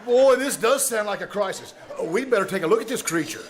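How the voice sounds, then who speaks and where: A man's voice: high voice, animated, fast, very noisy. A man playing a shy, polite, oblivious biology teacher with a hesitant, stammering manner in old-time radio comedy. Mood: flustered, earnest. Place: small-town theater stage, live performance. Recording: live theater stage with audience.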